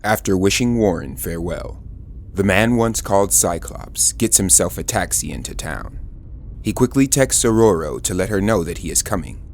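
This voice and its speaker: A man's voice: low-pitched, lively intonation, moderate pace, vocal fry, a little hiss or hum. A man narrating a scripted audio drama, describing the action as a storyteller.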